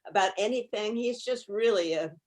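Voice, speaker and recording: A woman's voice: medium pitch, moderate pace, a little mellow. A woman talking casually in a group discussion, with an effusive, emphatic way of putting things.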